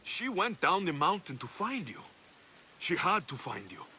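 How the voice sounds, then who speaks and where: A man's voice: a little high, wildly swooping pitch, measured pace, sharp tone, a little hiss or hum, exaggerated voice. An actor playing an earnest young mercenary in a video game, insistent as he speaks to an estranged sibling. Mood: sorrowful. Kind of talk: dramatic video game voice acting.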